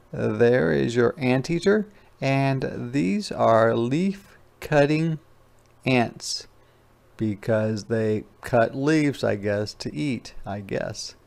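A man's voice: mid-range voice, gravelly, darkish tone. A man reading a picture book aloud as a teacher, explaining things simply and conversationally for children. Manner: conversational, repeats phrases.

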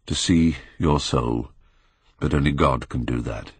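A man acting a grave, earnest Victorian painter, deep-voiced. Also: measured pace, husky, dry recording, heavily acted. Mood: sorrowful. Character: earnest, anguished male Victorian painter, pleading and moralising.

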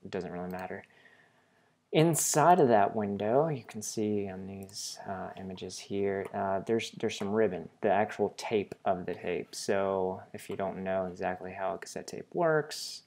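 Guy with a low voice doing a laid-out screen tutorial, informal, kinda thinking out loud. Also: a little slow. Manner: informal, explanatory, thinking aloud. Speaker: tutorial presenter. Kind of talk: casual software tutorial narration.

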